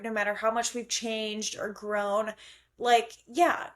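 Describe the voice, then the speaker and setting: A young adult woman hosting a casual podcast chat, talking in a gushing, slangy way peppered with "like". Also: a little high. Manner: gushing, slangy, heavy use of "like". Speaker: podcast host.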